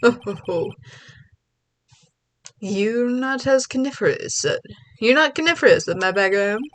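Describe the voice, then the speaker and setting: A woman with a stuffy low voice voicing a pompous, smug male villain who speaks with gloating courtly menace in a video game read-along. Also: lively intonation, a little slow, fairly bright tone.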